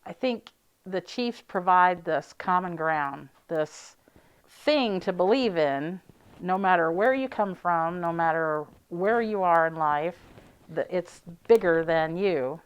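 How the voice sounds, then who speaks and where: A woman speaking as an interviewed football fan, in a conversational, unhurried and reflective way. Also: on the low side, lively intonation, clear, resonant tone.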